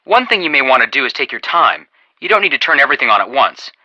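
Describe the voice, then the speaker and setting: A man's voice: medium pitch, sing-song, fast, pushed and bright, dull audio, heavily acted. An actor playing a friendly, earnest young amateur detective in a video game, chatty and reassuring as he gives tips. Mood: helpful.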